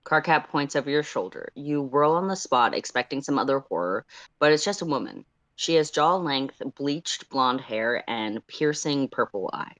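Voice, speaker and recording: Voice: medium pitch, animated, moderate pace, a bit bright. A story narrator of unclear sex with a mid-range voice. They read fan fiction aloud and talk casually as a co-host of a stream.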